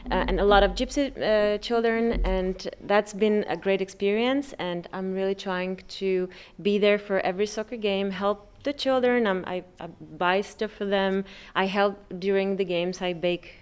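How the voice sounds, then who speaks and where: A middle-aged Czech-accented woman with a smooth, clear voice shares informally with a group. She speaks a little hesitantly, hunting for the right words in English. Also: mid-range voice, fairly even intonation, moderate pace, few pauses. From Czech Republic. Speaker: parent community service representative.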